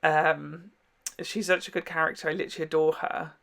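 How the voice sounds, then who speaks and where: A man's voice: a little high, level tone, a little fast, vocal fry, a bit bright. A man giving a casual, candid TV reaction, rambling and gushing with some swearing. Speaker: reaction video host. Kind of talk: casual TV episode reaction chat.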